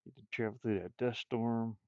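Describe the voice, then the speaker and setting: A man's voice: medium pitch, little pitch movement, a little fast, no room sound, narrow, tinny audio. A man talking casually to himself as he draws, absent-minded, with playful sing-song asides. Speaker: game artist streaming a drawing session. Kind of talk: casual muttering while drawing.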